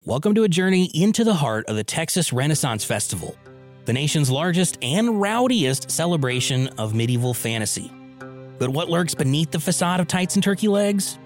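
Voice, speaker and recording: Male trailer narrator, dramatic, highish register, expressive swings, soft music bed. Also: normal speed. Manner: dramatic, suspenseful. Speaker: podcast narrator.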